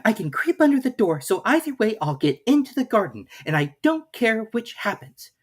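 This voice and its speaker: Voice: mid-range voice, big pitch swings, moderate pace, breathy edge, voice pushed up. A storybook narrator voicing Alice, a curious, earnest little girl who talks to herself emphatically. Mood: eager, anxious. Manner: exclaiming, emphatic, talking to herself. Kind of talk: storybook character reading.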